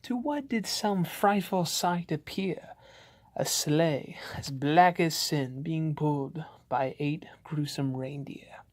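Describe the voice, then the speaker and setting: A man voicing a frightened father who narrates a scary Christmas poem in a theatrical, ominous, drawn-out manner. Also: a little high, no echo. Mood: hushed suspense. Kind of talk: dramatic storybook poem reading.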